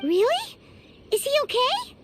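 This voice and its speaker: A woman voicing a small, excitable childlike fairy companion in a video game, full of incredulous questions. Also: very high voice, a little slow, over music, exaggerated voice. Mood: surprised, concerned.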